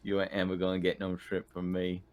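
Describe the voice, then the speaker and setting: A man's voice: deep voice, completely flat delivery, fairly quick, darkish tone. A man bantering casually as a player in a tabletop game.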